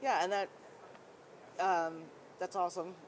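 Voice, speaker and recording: A woman's voice: on the low side, steady tone, measured pace. A woman hosting an interview show, asking rambling questions with fillers at a conference. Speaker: podcast host. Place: busy conference hall. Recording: noise of a conference floor.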